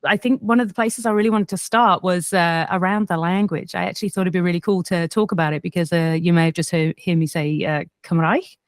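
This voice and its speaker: A Welsh woman whose accent has softened after years in Australia, telling history as a podcast guest in an animated, self-deprecating way. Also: fairly low pitch, brisk, dry recording, clean studio sound. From Wales. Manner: animated, self-deprecating, frequent restarts and laughs. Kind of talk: casual conversational storytelling.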